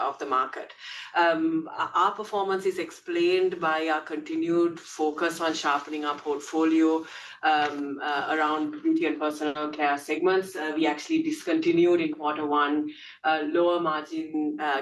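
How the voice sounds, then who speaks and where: A woman speaking as a company executive, giving a business update on an earnings call. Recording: distant mic, faint rumbling noise.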